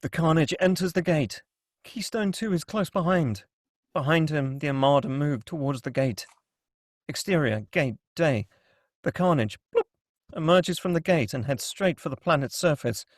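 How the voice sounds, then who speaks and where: A man's voice: fairly high pitch, normal speed, no echo, studio quality. A man narrating screenplay stage directions in a scripted table-read style.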